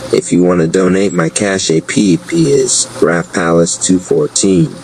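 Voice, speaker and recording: A man narrating a scripted audio drama in a measured, solemn storytelling delivery. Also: sits low, animated, darkish tone, slight background noise.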